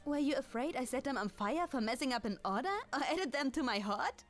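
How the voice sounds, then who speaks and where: A woman playing a kind, clumsy, centuries-old dragoness baker in an ASMR roleplay, with a German accent and gentle, apologetic, formal, halting speech. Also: high-pitched, expressive. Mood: sheepish, amused. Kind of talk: ASMR roleplay, in-character monologue to the listener. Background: music.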